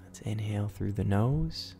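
Low-voiced man guiding a bedtime meditation. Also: lively intonation, gravelly, faint music behind. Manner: gentle, unhurried, instructional. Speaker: guided meditation host. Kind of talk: guided bedtime meditation, soft and soothing.

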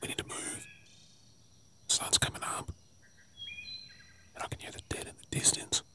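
Australian male actor for ASMR audio drama: tired, protective apocalypse survivor, whispered, urgent yet coaxing, sharp-edged. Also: moderate pace. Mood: gentle urging. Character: weary, protective male zombie-apocalypse survivor, gruffly hopeful. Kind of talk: whispered ASMR role play.

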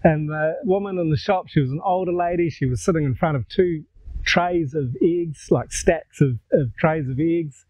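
A man in his sixties with a New Zealand accent, telling stories to camera in a relaxed, conversational way on a lapel mic outdoors. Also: fairly high pitch, moderate pace, no echo, low-quality recording. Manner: relaxed, conversational, gestures while talking. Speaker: vlogger. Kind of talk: casual storytelling to camera. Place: outdoors in a garden.

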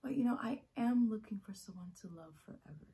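A woman performing a dramatic monologue as an earnest, ageless young woman making an intimate, halting confession to her lover. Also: medium pitch, animated, warm tone, lightly acted. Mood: pleading, insistent.

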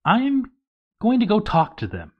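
Bold dwarf for a game story male performer, pitched well up, emphatic and headstrong. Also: wildly swooping pitch, unhurried, highs cut off, full character voice. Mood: bold, determined. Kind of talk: acted narration of a game story.

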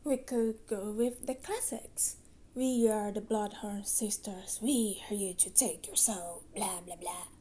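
A woman playing a hesitant, wry resurrected witch in an ASMR roleplay, with a soft, breathy voice. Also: mid-range voice, takes it slowly.

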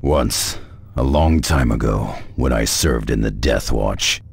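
A grim space marine vet for a game, super deep growly voice, blunt and measured. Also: male voice, full character voice. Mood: grim, fatalistic. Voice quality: deep gravelly. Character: grim veteran Space Marine scout, fatalistic and stern. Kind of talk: video game cutscene acting.

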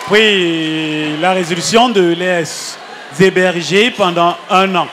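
A man working as the interpreter at a church service, relaying each testimony in an even, summarizing manner. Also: sits high, slow pace, some chatter behind, a little hiss or hum. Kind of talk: consecutive interpreting.

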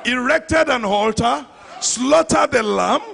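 A man's voice: very high-pitched, takes it slowly, vocal fry, fairly bright tone. A middle-aged man with a Nigerian accent preaching an impassioned, emphatic sermon into a handheld microphone, repeating phrases for effect. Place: large church hall before a congregation. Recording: live church PA with faint crowd.

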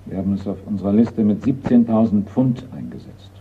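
A man playing a calm, discreet art valuer in a German radio crime play, speaking in a composed, polite, measured way. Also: fairly low pitch, vocal fry, slightly rough, heavily acted. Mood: discreetly serious. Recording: tinny, narrow-band radio recording, faint noise.

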